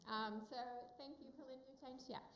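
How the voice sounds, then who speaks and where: Loud American woman presenting in echoey hall. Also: sits high, steady tone, moderate pace, a little hiss or hum. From United States. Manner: loud, friendly, filler-heavy talk. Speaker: company speaker at a summit. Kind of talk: conference presentation. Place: conference room.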